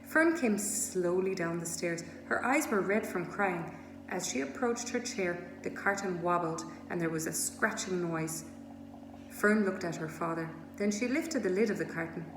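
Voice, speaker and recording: A woman's voice: fairly low pitch, moderate pace, over music. A woman reading a children's storybook aloud as a scripted narration. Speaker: storybook reader. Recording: echoey room with steady noise.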